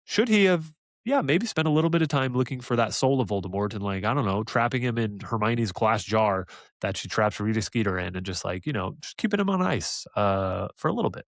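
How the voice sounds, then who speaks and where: A fairly low-pitched man chatting on a fan podcast, full of eager ideas and quick laughs. His voice sounds dry and close, with no room around it. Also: expressive, vocal fry, dry recording. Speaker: podcast co-host. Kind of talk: casual fan chat.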